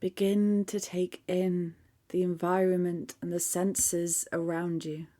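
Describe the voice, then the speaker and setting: A woman leading a guided meditation in a soft, calm voice that often drops to a near-whisper. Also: lowish voice, slow. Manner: soft, calm, hushed, half-whispered at times.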